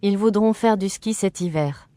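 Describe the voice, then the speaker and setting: A voice reading short phrases slowly and evenly for learners, carefully enunciated and repeated. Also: mid-range voice, warm tone, no echo. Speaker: French phrase reader.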